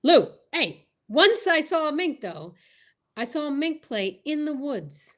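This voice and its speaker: A woman acts out a bumbling, boastful funnyman from a 1940s radio comedy. The voice is high and takes its time, stammering and hamming up the lines. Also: sing-song, a little slow, fairly bright tone, full character voice. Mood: silly, flustered.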